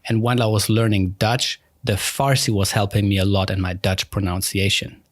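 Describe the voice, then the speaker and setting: A man's voice: fairly low pitch, level tone, moderate pace, no echo, studio quality. A German man hosting a podcast, talking casually in a rambling, self-deprecating way with many restarts. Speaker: podcast host. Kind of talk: casual conversation.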